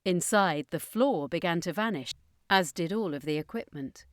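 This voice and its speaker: A woman with a fairly low voice tells a fantasy story as scripted narration. The sound is dry, with very little room around it. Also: lively intonation, normal speed, no echo.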